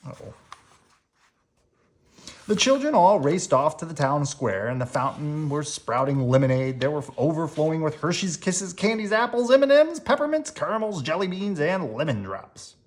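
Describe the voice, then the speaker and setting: A storyteller reading a children's book aloud in an expressive, animated way, leaning on words for dramatic emphasis. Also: mid-range voice, normal speed. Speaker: storybook reader.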